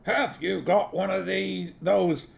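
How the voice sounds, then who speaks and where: Nobby, a gravelly, high-pitched blusterer. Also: male voice, steady tone, takes it slowly, heavily acted. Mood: blustering. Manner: blustering, pushy, bossing people about. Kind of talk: audiobook character voice.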